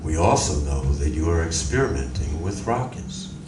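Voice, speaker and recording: Recorded from far off in an echoey, noisy room, an actor plays a calm male alien emissary with a low voice. He speaks formally and deliberately, with polite menace, in radio drama style. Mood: grave warning. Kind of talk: live radio drama acting. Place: library community room, live performance. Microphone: audience member's distant recorder.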